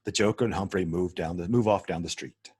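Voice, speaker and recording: A man narrates a screenplay, reading out scene headings and stage directions. His voice is very low and husky, captured close and dry. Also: brisk, a little mellow, no room sound. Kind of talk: scripted narration at a table read.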